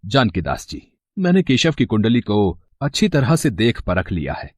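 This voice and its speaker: A man doing a grave, husky priest. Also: medium pitch, wildly swooping pitch, darkish tone, dry recording, clean studio sound. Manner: grave, measured. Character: solemn male priest reading horoscopes.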